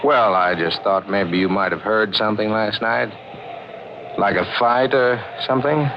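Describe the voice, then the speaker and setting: Secretive brooding man, lowish voice, clipped and guarded, old radio thriller, dull noisy recording. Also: unhurried. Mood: casual, probing. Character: secretive, brooding man, a reckless lover and accomplice. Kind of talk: old-time radio drama acting.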